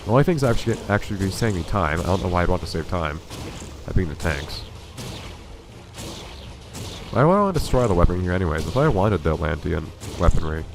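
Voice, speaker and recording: A man's voice: low-pitched, animated, very fast, vocal fry, background noise. A man with a stuffy, congested voice giving dry, wry commentary while playing a video game. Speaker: let's play host. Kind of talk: casual gameplay commentary.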